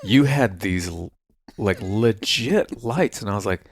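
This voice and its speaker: A man's voice: fairly low pitch, animated, measured pace, husky. A man hosting a casual podcast chat, teasing his guest in a friendly way and setting up jokes. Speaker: podcast host.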